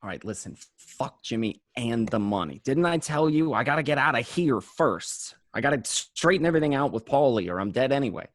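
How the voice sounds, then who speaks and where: A man's voice: mid-range voice, lively intonation, a little fast, hardly any pauses, dry recording, studio quality, close to an everyday voice. A man playing a desperate, paranoid small-time gangster, stammering and swearing, in an amateur script read. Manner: stammering, repeating, profane.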